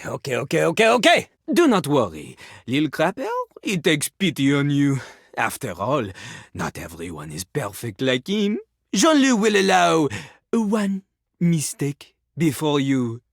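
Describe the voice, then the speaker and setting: A man's voice: a little high, very animated, measured pace, creaky, no echo, studio quality, heavily acted. A man voicing a boastful, theatrical French-accented rapping toilet in a video game, referring to himself in the third person. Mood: smug, magnanimous. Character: boastful French rapping toilet, cocky yet easily embarrassed. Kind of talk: video game character acting with freestyle rap.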